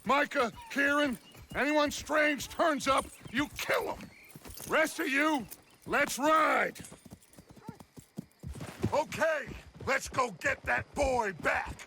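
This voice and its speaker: An actor playing a grandiose, vengeful outlaw gang leader in an emphatic, oratorical game performance. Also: male voice, extremely high pitch, slow, pauses often, bright, forceful tone, a little hiss or hum, exaggerated voice. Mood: commanding. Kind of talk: dramatic video game acting. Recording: outdoor horse hoofbeats and ambience behind.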